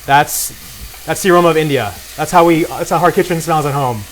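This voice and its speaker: A man's voice: fairly high pitch, fairly quick, rough recording. A man talking casually and enthusiastically as a guest at a cooking demonstration, with a promotional way of talking. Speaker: guest cook and beer brand co-founder. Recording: clear noise of frying and sizzling. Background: sizzling pan in a cooking demo.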